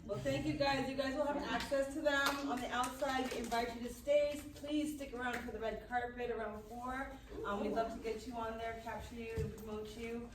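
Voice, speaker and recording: A woman's voice: on the high side, steady tone, brisk. A woman hosting a live event, making casual announcements and thanking the audience. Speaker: event host. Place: echoey event room in a mall. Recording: echoey room, distant mic, noisy.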